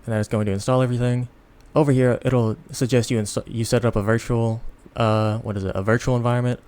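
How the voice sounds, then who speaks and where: A tired man giving a casual, unscripted software tutorial in a rambling, self-deprecating way. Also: medium pitch, level tone, quick pace. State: tired, sleepy. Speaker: tutorial host.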